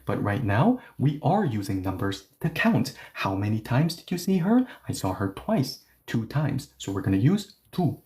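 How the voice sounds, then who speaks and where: A man giving an online language lesson with a mid-range voice, neither high nor low. He explains patiently, leans on "okay, so" and "yeah", and moves between explanations and slow, careful example readings. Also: big pitch swings, darkish tone. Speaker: Korean language teacher.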